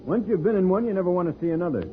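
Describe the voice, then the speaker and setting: Heroic spaceship pilot played by a man, American, calm explainer, vintage radio drama with thin, phone-like sound. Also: high voice, rapid-fire, natural voice. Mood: steady, cautioning. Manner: reassuring, explanatory, clearly projected. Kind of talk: 1950s radio serial acting. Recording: scratchy, tinny old-time radio recording.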